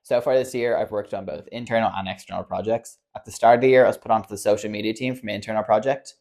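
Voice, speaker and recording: Early twenties guy, talks fast, low-ish voice, sure of himself. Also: steady tone, darkish tone. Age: 20s. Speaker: graduate student. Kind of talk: student testimonial.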